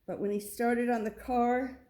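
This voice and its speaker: A woman's voice: a little high, steady tone, a little slow, mellow. A woman narrating a children's story as a read-aloud. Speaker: storybook reader.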